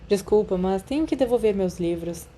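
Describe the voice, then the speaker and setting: A woman's voice: medium pitch, expressive, moderate pace, mellow, slight background noise, lightly acted. A Brazilian woman voicing a polite, hesitant young schoolboy who is evasive and uneasy, in an audiobook story. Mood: mischievous, evasive.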